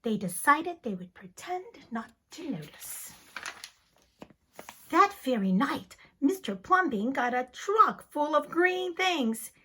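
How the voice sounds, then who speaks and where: A woman teacher giving a dramatic, expressive reading of a children's picture book. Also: sits high, takes it slowly. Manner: expressive, theatrical storytelling.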